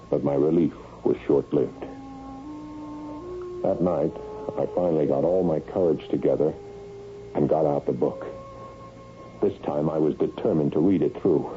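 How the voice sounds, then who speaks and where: Radio drama nervous, browbeaten husband: deep male voice with big swings, hesitant, suspicious, confiding his story. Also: narrow, tinny audio. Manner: stammering, hesitant when addressed. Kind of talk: old-time radio drama acting, first-person narration. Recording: band-limited radio broadcast with music behind.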